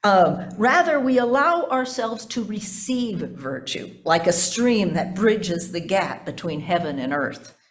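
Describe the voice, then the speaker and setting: An older woman pastor giving a reflective sermon, deliberate and emphatic, with conversational asides and folksy questions to her listeners. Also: medium pitch, expressive.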